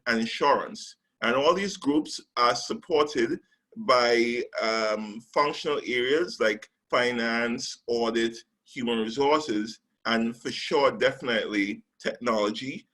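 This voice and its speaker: A man from Trinidad and Tobago, a recruitment specialist, giving a measured webinar presentation with frequent ums and pauses over a call microphone. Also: medium pitch, sharp tone, rough recording.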